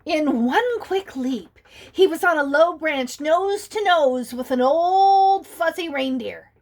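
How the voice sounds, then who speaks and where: A woman's voice: sits high, lively intonation, takes it slowly, a little mellow. A woman reading a picture book aloud to young children, playful and asking her listeners questions.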